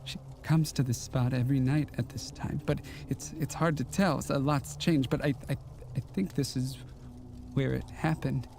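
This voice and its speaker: An actor in an audio drama playing a ghostly, wistful killer from the 1940s, with halting, old-fashioned courteous speech and quiet menace. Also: male voice, medium pitch, fairly even intonation, vocal fry, slight background noise, close to an everyday voice. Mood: quietly menacing.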